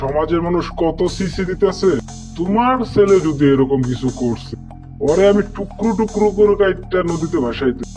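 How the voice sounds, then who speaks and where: A man's voice: high voice, lively intonation, moderate pace, warm tone, faint music behind. A man playing a stern, scolding father in a cartoon.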